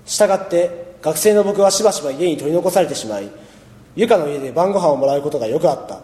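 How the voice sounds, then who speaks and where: Guy as a laid-back high school boy in a radio drama, highish voice, dry sarcasm, echoey. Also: lively intonation, fast, warm tone, close to an everyday voice. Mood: dry, matter-of-fact. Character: laid-back, wry teenage high school boy narrating his life. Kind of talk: amateur radio drama narration and acting. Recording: echoey, long reverb.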